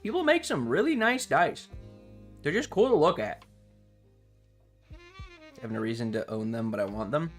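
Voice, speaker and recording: A man's voice: a little high, very animated, moderate pace, long pauses, soft background music. A man chatting casually on a livestream to his viewers, rambling and playfully dramatic. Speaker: live streamer.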